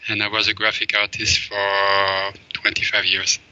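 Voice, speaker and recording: A man's voice: on the low side, completely flat delivery, a little slow, background noise. A middle-aged man from Belgium, an interview guest who rambles with many fillers and restarts. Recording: dull, dry, lo-fi sound.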